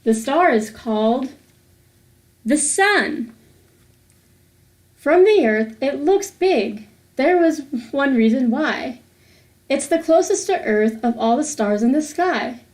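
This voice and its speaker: A woman reading a rhyming picture book aloud for children in a deliberate, sing-song way. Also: high-pitched, lively intonation, a little mellow. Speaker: host of a children's read-aloud series.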